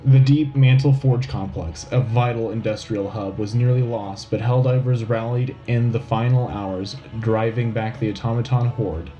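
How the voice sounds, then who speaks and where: A man playing a solemn, patriotic wartime radio news anchor in a scripted dramatic newscast, with a grave, rousing, measured delivery. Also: mid-range voice, steady tone, dark tone, lo-fi sound, soft background music, close to an everyday voice. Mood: grave, urgent. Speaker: radio host.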